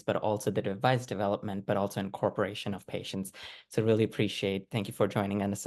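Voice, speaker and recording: Male event host, lower register, handling thanks and introductions between conference sessions. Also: a little mellow.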